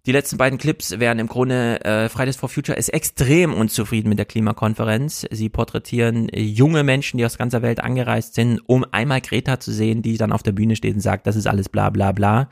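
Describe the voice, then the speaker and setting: A man with a gravelly, creaky voice hosts a casual political livestream, opinionated and restless. The sound is dry and close, with no room around it. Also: mid-range voice, fairly even intonation, fairly quick, few pauses, no echo. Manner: opinionated, repeats "grotesk", restless. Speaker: livestream host.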